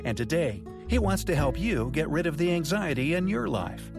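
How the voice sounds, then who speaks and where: A man's voice: a little high, sing-song, moderate pace, gravelly. A man reading a scripted podcast introduction as the announcer. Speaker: show announcer. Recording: voice-over over guitar music.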